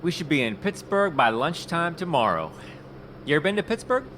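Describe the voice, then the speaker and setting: An actor playing a middle-aged talent scout in an audio drama, nervous and talkative. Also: male voice, on the high side, very animated, brisk, fairly bright tone, slight background noise. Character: tenacious male talent scout, fast-talking and anxious. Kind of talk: radio drama acting.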